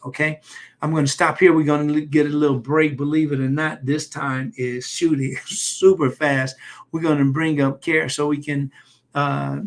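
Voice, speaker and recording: A man, a doctor, hosting a live health stream in a loose, halting way with many fillers and light jokes. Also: medium pitch, creaky. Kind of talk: casual livestream talk.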